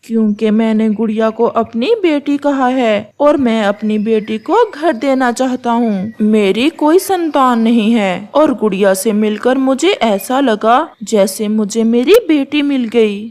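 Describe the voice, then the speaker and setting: A woman voicing a kind, childless male woodpecker handyman with a gentle, courteous manner in a cartoon. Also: on the high side, measured pace, hardly any pauses, lightly acted. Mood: generous.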